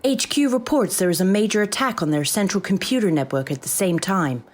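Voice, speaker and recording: A woman playing a brisk, dry-witted AI assistant in a comic sci-fi audio drama. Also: medium pitch, lively intonation, natural voice. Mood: brisk, informative. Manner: crisp, dry, businesslike.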